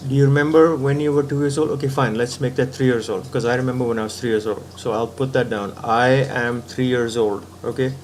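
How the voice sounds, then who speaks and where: A man's voice: medium pitch, fairly even intonation, brisk, hardly any pauses, darkish tone, slight background noise. A man giving a patient philosophical talk, reasoning step by step and often checking in with the listener. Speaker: spiritual teacher.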